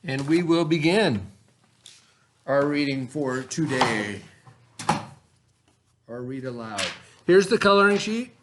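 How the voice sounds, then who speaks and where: A man's voice: medium pitch, expressive, noisy background. A man teaching children by reading a lesson aloud, in an instructional way that mixes reading with asides and questions. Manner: instructional, pausing to pose and answer questions.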